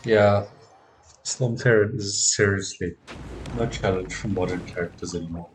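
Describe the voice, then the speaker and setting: A man's voice: lowish voice, moderate pace, background noise. A man giving casual live gameplay commentary, muttering to himself and often repeating words. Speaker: game streamer. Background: game music.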